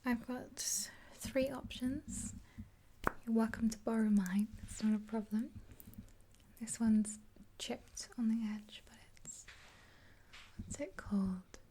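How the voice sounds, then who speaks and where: Woman, 20s, for whispered ASMR roleplay. Mellow, breathy, reassuring delivery, close-miked with some hiss. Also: on the high side, fairly even intonation. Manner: gentle, unhurried, reassuring. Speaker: ASMR performer playing a makeup helper. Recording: hissy close-up with clicks.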